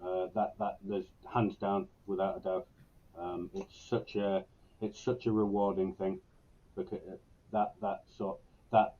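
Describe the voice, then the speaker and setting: Muffled, low, earnest man. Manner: earnest, reflective. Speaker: guest, university student in creative business. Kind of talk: personal conversational interview answers. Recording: dull, lo-fi online call audio.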